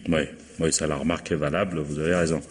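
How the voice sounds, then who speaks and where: An actor playing a courteous, resigned middle-aged widower accused of murder, in 1950s French radio drama style, with a husky voice. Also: male voice, a bass voice, level tone, quick pace, long reverb tail, a little hiss or hum. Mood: defensive, agitated. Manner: polite, resigned, wry.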